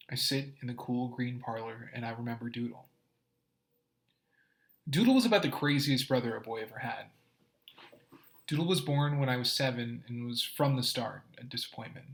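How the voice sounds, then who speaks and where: A male teacher reading a short story aloud to his class, expressive and shifting in loudness. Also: medium pitch. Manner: expressive, rising and falling in loudness.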